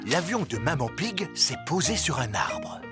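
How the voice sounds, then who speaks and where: A man narrating a children's cartoon in a warm, amused storybook style. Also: a little high, very animated, a little slow, over loud music. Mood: storytelling.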